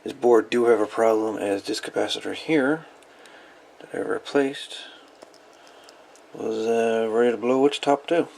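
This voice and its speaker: A man giving a casual hands-on show-and-tell as a hobbyist, in a folksy, rambling, halting way. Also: lowish voice, gravelly, darkish tone, slight background noise. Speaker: radio hobbyist presenting salvaged parts.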